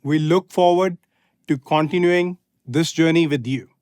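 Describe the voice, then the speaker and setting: A man delivering a scripted corporate testimonial in measured, separate phrases. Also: a little high, darkish tone, clean tone. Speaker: guest customer speaker.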